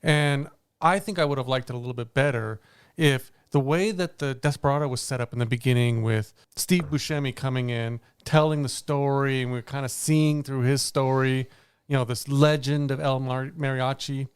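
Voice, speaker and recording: A man's voice: medium pitch, moderate pace, clear, resonant tone, dry recording. A man co-hosting a movie review podcast in casual chat, rambling and correcting himself as he goes. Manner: rambling, self-correcting, laughs easily. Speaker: podcast co-host.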